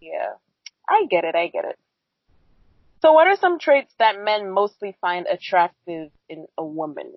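A woman's voice: lowish voice, expressive, measured pace, slightly rough, no echo. A woman co-hosting a casual podcast, asking questions with teasing asides and frequent laughs. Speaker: podcast co-host. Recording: dry, dull lo-fi sound.

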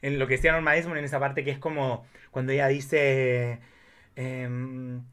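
A man chatting casually about films, telling things in an animated way with emphatic asides. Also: mid-range voice, normal speed. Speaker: guest film enthusiast. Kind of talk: casual film chat.

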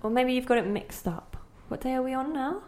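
Fretful elderly woman losing her words, impatient, fairly high voice, audio drama. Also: expressive, fairly quick, a little mellow. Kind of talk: audio play acting.

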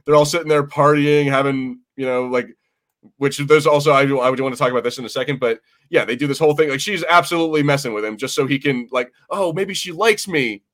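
American guy podcasting, medium pitch, casual and animated, swears a bit, does quote bits. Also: quick pace. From United States. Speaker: podcast host. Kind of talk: casual chat.

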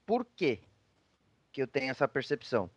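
A man's voice: medium pitch, very animated, moderate pace, husky, no echo, highs cut off. A man with a Brazilian accent telling personal anecdotes in a casual, slangy, rambling conversational way.

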